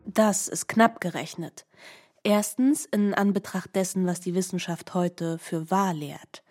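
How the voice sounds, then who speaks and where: A woman reading scripted literary narration for radio in a measured, explanatory way. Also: on the low side, a little mellow, soft background music.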